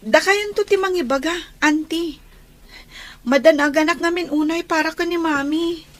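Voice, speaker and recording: A young stepdaughter in an Ilocano radio drama. Her voice is high, and she speaks gently and respectfully. She comes across as earnest, anxious and pleading. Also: normal speed. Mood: worried, firm.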